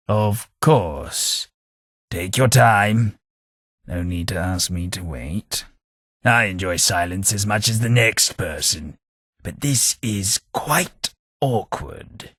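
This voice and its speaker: A centuries-old undead aristocrat with a British accent, drawling slow and dry in a posh, sarcastic way. His tone is bright and edgy with a husky grain. It is a close, dry studio recording with no room sound. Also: low-pitched, no echo, full character voice. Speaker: companion character. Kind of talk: game voice lines.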